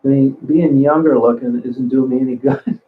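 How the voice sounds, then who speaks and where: A man's voice: mid-range voice, fairly even intonation, normal speed, a little hiss or hum. A man in his sixties chatting casually on a livestream, rambling, cursing freely and often restarting his sentences. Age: 60s. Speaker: livestream host reading chat. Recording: phone-like narrow sound.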